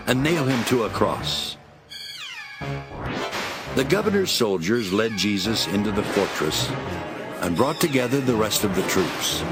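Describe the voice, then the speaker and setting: A man narrating a dramatized Bible as expressive, scripted storytelling. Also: mid-range voice. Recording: music behind.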